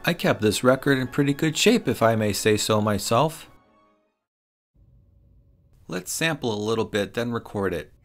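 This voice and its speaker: A man hosts a gear review in scripted narration. His voice sits at an average pitch for a man. He explains things step by step, with light music behind him. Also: animated, normal speed, pauses often. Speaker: product reviewer and host.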